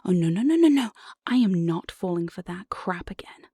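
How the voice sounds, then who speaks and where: A woman playing an evil, playful demon jailer in an ASMR roleplay, breathy and often whispering, with a sly, mock-sweet menace, close on the microphone. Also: on the low side, animated, normal speed, warm tone, no echo. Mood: mocking, exasperated. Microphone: close binaural studio microphone, dry.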